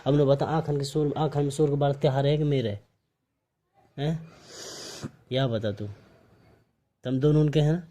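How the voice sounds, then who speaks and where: मेवाती लहजे में उलाहना देता आदमी. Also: male voice, medium pitch, fairly even intonation, moderate pace, long pauses, mellow. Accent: Mewati. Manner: reproachful, repeatedly questioning. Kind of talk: private argumentative phone conversation. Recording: amateur phone call recording.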